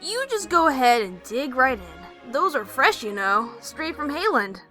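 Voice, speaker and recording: A woman plays a brash pegasus mare adventurer in a fan audio drama. Her voice is high and bright, swinging with cocky energy between sweet flirting and smug scheming asides. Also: sing-song, unhurried, big-room sound, soft background music, exaggerated voice. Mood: friendly, coaxing.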